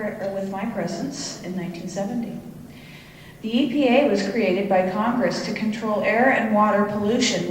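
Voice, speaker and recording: A woman's voice: medium pitch, slight background noise. Woman reading, a bit playful, echoey hall, distant mic. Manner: playful reading, corrects a slip. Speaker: student presenter. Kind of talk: reading a prepared speech.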